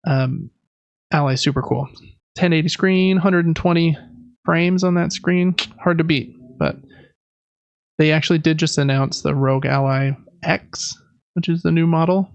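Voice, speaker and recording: A man talks casually as a podcast co-host, rambling and enthusiastic. His voice sits a little high with plenty of vocal fry. The sound is dry and close, slightly dull. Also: steady tone, a little slow, dry recording. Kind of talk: casual gaming podcast chat.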